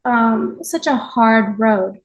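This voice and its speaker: A woman's voice: on the high side, slow, rough recording. A woman from Japan speaking as an interview guest, giving a reflective, conversational personal account with frequent small asides. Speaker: podcast guest, yoga teacher.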